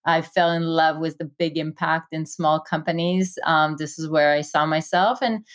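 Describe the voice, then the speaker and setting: A woman from Israel with an Israeli accent, an investor telling her career story in a quick, enthusiastic conversational way with many fillers. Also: low voice, steady tone. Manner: fast, enthusiastic, many fillers and "you know". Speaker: guest, tech investor.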